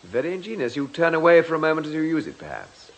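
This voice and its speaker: A police chief inspector in a radio detective play. His pitch moves freely as he puts polite, probing questions with measured, dry irony. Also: big pitch swings. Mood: drily inquisitive. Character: courteous, probing male police chief inspector, drily ironic. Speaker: actor in a radio detective drama. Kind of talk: radio drama acting.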